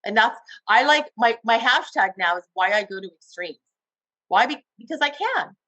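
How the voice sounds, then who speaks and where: A woman from Cuba, a television host, telling her story in an interview with an enthusiastic, animated manner. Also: a little high, normal speed, no echo, lo-fi sound. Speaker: TV host guest. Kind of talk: interview conversation.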